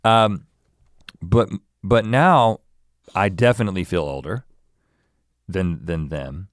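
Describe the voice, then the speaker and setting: A man in his forties musing aloud in a casual chat, reflective and halting as he searches for words. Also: low voice, no echo, clean studio sound. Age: 40s.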